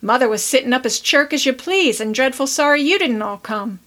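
A woman doing a brisk chatty country aunt. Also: high-pitched, animated, a bit bright. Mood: cheerful. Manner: brisk, talkative, rustic.

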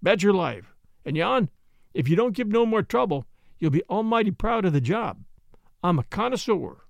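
A man's voice: sits high, big pitch swings, moderate pace, gravelly, no room sound, full character voice. A man voicing a stubborn, boastful male prisoner with a heavy German accent and broken English. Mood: scheming. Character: wild defiant male prisoner, stubborn and blustering.

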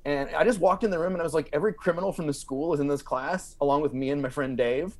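American male storyteller, slightly high voice, animated and rambling, casual podcast guest. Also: quick pace. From small-town United States.

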